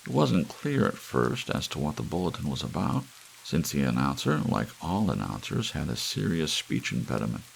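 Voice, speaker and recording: A man's voice: very deep voice, big pitch swings, moderate pace, gravelly, rough recording. A man narrating an audiobook story in an ASMR style. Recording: faint hiss, soft rain behind. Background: soft rain.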